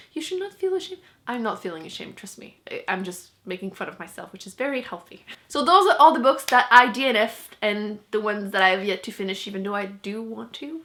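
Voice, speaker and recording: Young woman, French native speaker, bright tone, chatty, self-mocking, laughs a lot. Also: mid-range voice. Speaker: book vlogger. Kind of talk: casual chat to camera.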